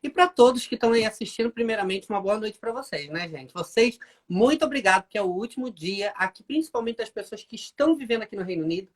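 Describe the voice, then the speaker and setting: A Brazilian man hosts a live stream in a casual, animated way and speaks straight to his audience. His Brazilian Portuguese accent carries into his English. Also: sits high, fast talker. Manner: chatty, animated, addresses viewers as "gente". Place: home in the UK.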